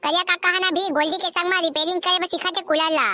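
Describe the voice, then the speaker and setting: A performer voicing a brash, scheming cartoon boy shopkeeper in a squeaky, artificial voice with a Chhattisgarhi accent. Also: level tone, fast talker, sharp tone, no echo, full character voice. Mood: boastful. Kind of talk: comedy cartoon dub in Chhattisgarhi.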